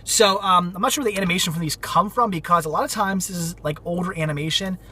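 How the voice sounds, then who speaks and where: A man's voice: sits high, fast talker, pushed and bright, husky. A man casually showing off his thrift store finds in a rambling, enthusiastic way, repeating himself often, while losing his voice from a cold. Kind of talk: casual vlog chat.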